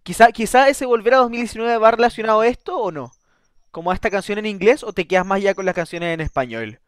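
High-voiced chatty male radio host. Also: expressive, fast, smooth, no room sound, studio quality. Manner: chatty, stumbles and restarts, tag questions. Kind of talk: casual interview.

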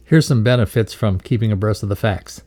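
Mellow, low-voiced man reading a lesson. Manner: measured, instructive read-aloud delivery. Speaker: reader of a values lesson. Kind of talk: scripted educational narration.

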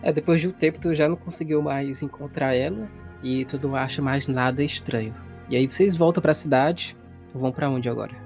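A man's voice: medium pitch, brisk, vocal fry, a little mellow, soft background music. A man with a Brazilian accent improvising narration as a tabletop game master.

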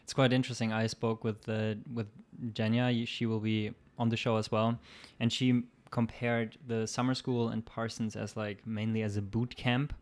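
A man hosting a casual interview show from his kitchen, asking questions in a relaxed, hesitant way with many fillers. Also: lowish voice, moderate pace, few pauses. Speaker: host. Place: home kitchen.

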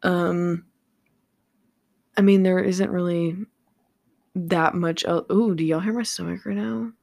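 A tired, slightly raspy young woman rambling through a casual solo podcast late at night, swearing freely and filling gaps with lots of ums. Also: fairly low pitch, fairly even intonation, slow pace, a little mellow, dry recording, clean studio sound. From Southern California. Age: young adult. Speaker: podcast host. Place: home room with a fan running. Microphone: studio microphone without pop filter.

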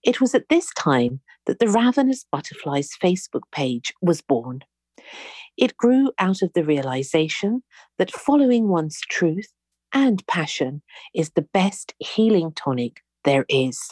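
A woman's voice: fairly low pitch, sing-song, measured pace, a little mellow, dry recording. A woman hosting an interview, reading an introduction and greeting her guest in an effusive, gushing way. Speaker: podcast host.

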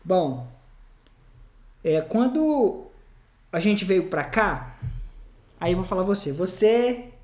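A man's voice: sits high, expressive, takes it slowly, pauses often, reverberant. A man chatting casually, often hesitating and restarting his sentences. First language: Portuguese. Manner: hesitant, many restarts and fillers. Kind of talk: casual conversation.

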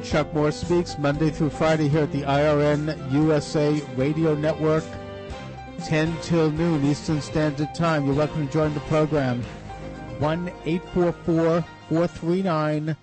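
A man hosting a radio talk show, asking long questions with many restarts and fillers. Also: on the high side. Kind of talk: radio interview.

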